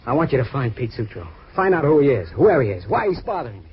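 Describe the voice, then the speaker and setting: Anxious, fast-talking American client. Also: male voice, medium pitch, very animated. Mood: insistent, agitated. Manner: hesitant, pleading, repeats himself nervously. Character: anxious, haunted retired male client, softening ex-fighter. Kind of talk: 1940s radio drama acting. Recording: muffled, hissy old radio recording.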